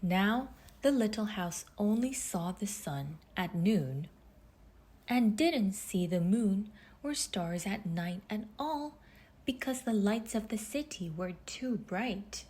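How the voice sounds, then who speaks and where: A woman reading a children's storybook aloud in measured phrases with deliberate pauses, her husky voice turning breathy as phrases end. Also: mid-range voice, lively intonation, mellow. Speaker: storybook reader. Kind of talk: children's read-aloud narration.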